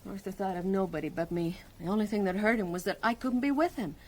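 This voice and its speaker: An actress playing a brassy, defiant criminal's wife in a radio drama, with an American accent and a sardonic, slangy, emotional manner. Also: female voice, medium pitch, fast, darkish tone, natural voice. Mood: defiant, wistful.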